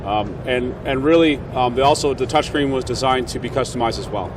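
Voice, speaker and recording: A man's voice: mid-range voice, a little fast, background noise. A man giving an informal product demonstration, explaining step by step in a conversational way on a trade show floor. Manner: conversational, checks in with "right?". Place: trade show exhibition hall.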